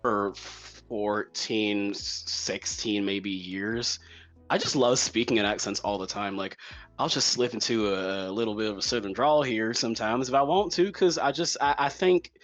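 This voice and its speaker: A man's voice: lowish voice, a bit bright. A young man chatting casually and easily as a podcast interviewer. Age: young adult. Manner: chatty, quick to laugh.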